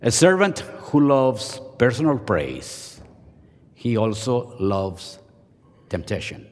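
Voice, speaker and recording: An older man preaches a humorous chapel sermon, teasing himself with dramatic pauses. His pitch swings widely while he talks at an unhurried pace. The podium mic carries a long reverb off the large hall. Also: very animated. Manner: playful, self-mocking, dramatic pauses. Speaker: seminary professor and chapel speaker. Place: large seminary chapel auditorium. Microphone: podium microphone.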